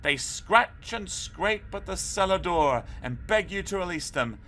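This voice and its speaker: Brief: a man playing a high-voiced, theatrical prankster boy from a storybook. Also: lively intonation, unhurried, pushed and bright, a little hiss or hum, exaggerated voice. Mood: scheming. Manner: theatrical, spooky, bossy. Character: lonely, scheming boy who loves real mischief.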